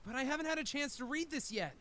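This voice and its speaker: A man's voice: very high-pitched, lively intonation, fast talker, bright, forceful tone, voice pushed up, full character voice. A man voicing a sulky, stubborn schoolboy who protests and needles, reading visual novel dialogue aloud. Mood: reluctant. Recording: music playing behind voice.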